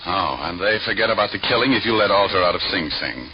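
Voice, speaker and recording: Tough flippant american private eye, forties radio drama, world weary, muffled old recording. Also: male voice, sits low, lively intonation, quick pace, noisy background. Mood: sardonic, probing. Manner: sardonic, slangy, flippant. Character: wisecracking tough male private detective, world-weary and cocky. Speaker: lead actor and narrator. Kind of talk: 1940s hard-boiled radio acting. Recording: dull old radio recording, music behind.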